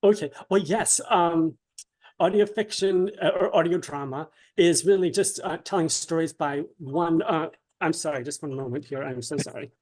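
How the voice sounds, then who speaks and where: Higher-pitched man, halting explainer. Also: a little mellow, low-quality recording. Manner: halting, often checks with "right?". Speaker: interview guest, dramatist. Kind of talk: conversational interview, explaining the craft.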